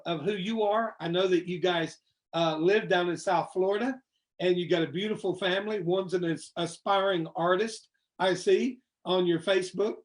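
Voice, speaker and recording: High-voiced man hosting, rambling. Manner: rambling, many fillers and restarts. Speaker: host. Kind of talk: casual interview chat.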